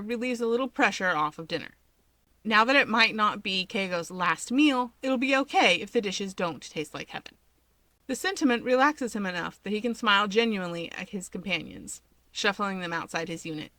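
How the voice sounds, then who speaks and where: A woman's voice: mid-range voice, bright, forceful tone, no echo. A woman narrating a piece of fiction in a scripted storytelling style.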